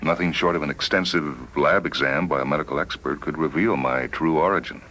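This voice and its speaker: A man voicing a polite, dutiful android double of a spaceman in a dramatised story, speaking in a formal, measured, deferential way. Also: extremely low pitch, sharp tone, a little hiss or hum. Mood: calm, deferential. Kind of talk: dramatised short-story reading.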